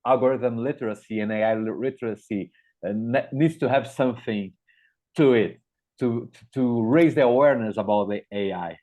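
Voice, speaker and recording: A man's voice: medium pitch, normal speed, warm tone. A middle-aged Brazilian man with a Portuguese-speaker's accent, giving an informal conference talk and hesitantly repeating words as he thinks. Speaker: guest speaker, media educator. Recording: thin, phone-like online call audio.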